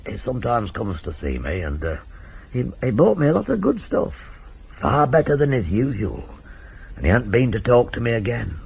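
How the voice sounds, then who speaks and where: An actor playing a nervous petty criminal informer in a radio crime drama, stammering, wheedling and eager to please, with a gravelly voice. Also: male voice, medium pitch, heavily acted. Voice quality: raspy, gravelly. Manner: stammering, hesitant, repeating himself.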